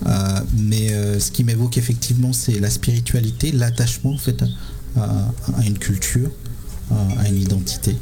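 A man in his thirties answering interview questions spontaneously, haltingly and searching for words. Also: on the low side, steady tone. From Sri Lanka. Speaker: interviewed musician and music teacher.

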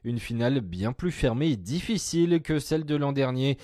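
A man presents a radio news bulletin in the French of France. His tone is soft and rounded rather than sharp, and the sound is close and dry, as in a studio. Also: mid-range voice, animated, normal speed, no room sound. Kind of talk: scripted news bulletin reading.

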